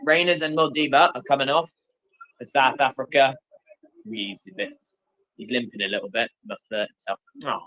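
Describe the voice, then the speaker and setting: A man's voice: mid-range voice, fairly even intonation, moderate pace, lo-fi sound. A man hosting a stream, chatting casually and loosely commentating on a football match, with plenty of fillers. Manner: laid-back, rambling, with many fillers.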